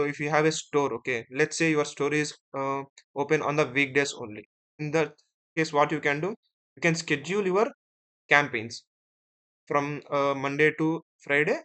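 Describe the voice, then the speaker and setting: Man, mid-pitched voice, instructor, step-by-step software walkthrough, frequent check-in tags. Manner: step-by-step, often ending phrases with "right?" and "okay". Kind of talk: screen-share software tutorial.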